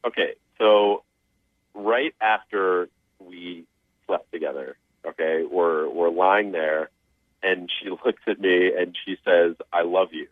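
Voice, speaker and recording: A man's voice: deep voice, takes it slowly, no room sound. A man on a phone call, speaking casually in a reluctant, awkward, hesitant way. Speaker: called phone guest. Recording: tinny telephone line.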